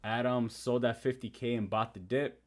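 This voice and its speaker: A man's voice: mid-range voice, fairly even intonation, normal speed. A young man whose first language is Spanish, hosting a casual live stream, reading viewer comments and talking through trading charts. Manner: chatty, reads and answers viewer comments.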